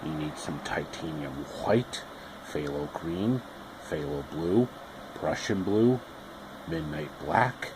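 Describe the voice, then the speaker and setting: A man playing a gentle, folksy television painting instructor with a hushed, breathy murmur. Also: rumbling low, lively intonation, slow, background noise, pitched below the performer's own voice, full character voice. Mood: soft, soothing.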